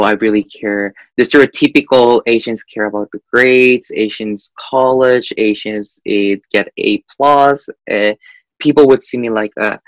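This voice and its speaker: Young man from Guatemala, dry and low. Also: dry recording, poor audio. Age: young adult. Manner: hesitant, frequent "eh" fillers, animated. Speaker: interview guest. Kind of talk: casual interview chat.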